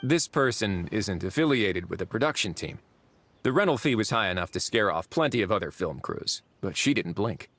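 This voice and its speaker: A man playing a calm, dryly witty duke in a video game cutscene, speaking in a composed, measured way. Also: on the low side, dry recording. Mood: matter-of-fact.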